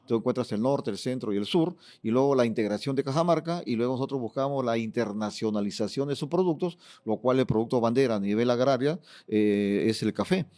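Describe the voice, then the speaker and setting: A man's voice: mid-range voice, fairly quick, few pauses, darkish tone. Peruvian man explaining in an interview. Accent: Peruvian Spanish. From Peru. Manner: explanatory, fond of "fíjate" and "eh" fillers. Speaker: politician, former regional governor. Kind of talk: interview answers.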